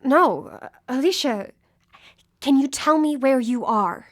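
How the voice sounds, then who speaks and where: An actress playing an earnest, driven woman searching for a lost friend, with an intent, halting manner, in a live radio play. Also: high voice, animated, slight background noise. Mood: urgent, pleading. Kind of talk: live radio drama acting.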